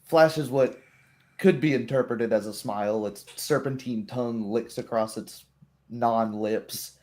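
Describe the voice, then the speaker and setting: A man's voice: mid-range voice, unhurried, a little mellow. A man narrating a tabletop roleplaying game as game master, with vivid, descriptive storytelling.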